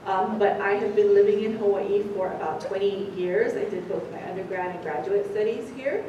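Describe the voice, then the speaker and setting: An American woman giving an informal welcome talk to new students, chatty and halting as she presents. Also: highs cut off. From San Francisco Bay Area, United States; born in the Philippines. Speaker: orientation presenter, university associate director. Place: echoey indoor room at a student orientation. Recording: echoey room, distant mic, rumbling noise.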